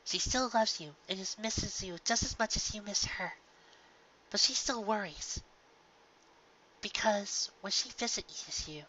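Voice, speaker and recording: A woman voicing a gentle, grieving young pony mare in a fan audio drama, with a soft, earnest, emotional delivery. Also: mid-range voice. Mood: gently pleading. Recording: dull lo-fi home recording, clear background noise.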